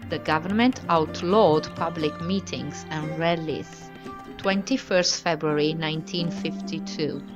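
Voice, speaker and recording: A woman narrates a short documentary in a very deep voice, reading her script evenly and clearly. Music plays distinctly underneath her. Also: unhurried, highs cut off.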